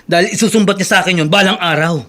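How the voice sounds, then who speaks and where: An actor playing a stubborn, proud older brother in a Filipino radio drama, emphatic and defiant. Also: male voice, high voice, racing, pushed and bright. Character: stubborn proud suspended employee, older brother, given to drinking.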